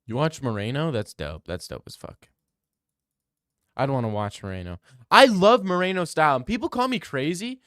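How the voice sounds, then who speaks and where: Young male streamer, loose profane banter, smooth voice, dry close sound. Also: a little high, very animated, moderate pace, fairly bright tone, no room sound. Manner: loose, profane banter, reads chat aloud and riffs. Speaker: streamer answering chat. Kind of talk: casual live-stream chat.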